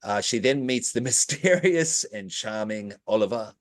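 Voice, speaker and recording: A man's voice: lowish voice, lively intonation, unhurried, no echo. A man hosting a podcast, recapping a movie plot in casual chat with playful, jokey asides. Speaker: podcast host.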